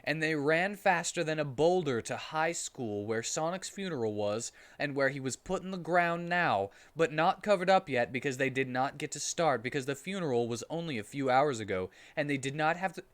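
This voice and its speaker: Animated man doing a comic dramatic reading. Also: medium pitch. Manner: animated, amused. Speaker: streamer.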